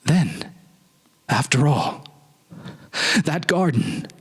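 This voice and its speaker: A wistful middle-aged gentleman, acted by a man, confides his memories in a slightly husky voice. The delivery is hesitant and musing, with lively swings of pitch, like a dramatic storybook reading. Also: extremely slow, heavily acted. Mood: animated, wondering.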